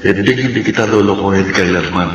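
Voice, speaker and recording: A man's voice: deep voice, brisk, dull audio, a little hiss or hum, natural voice. A man playing a guilt-ridden young man in a radio drama, speaking solemnly and earnestly. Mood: remorseful. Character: guilt-ridden young man who broke his promise. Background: loud music.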